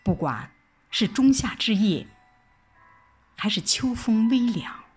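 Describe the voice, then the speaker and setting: Female audio drama narrator, reflective and unhurried, highly melodic phrasing, soft music bed. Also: husky. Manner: expressive, reflective recitation with deliberate pauses. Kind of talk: dramatic scripted first-person narration. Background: background music.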